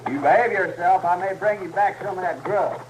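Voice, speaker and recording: An actor playing a bullying, hot-tempered outlaw with a sarcastic, menacing rural Western American drawl in a radio drama. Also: male voice, sits high, a little fast, lightly acted. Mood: threatening. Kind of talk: old-time radio Western acting. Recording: slightly noisy vintage radio recording.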